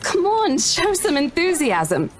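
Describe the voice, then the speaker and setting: A woman's voice: very high voice, wildly swooping pitch, slow pace, a crowd in the background, exaggerated voice. A woman playing a brash, teasing, worldly female senior estate agent in a visual novel game, playful and informal. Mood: teasing, sassy.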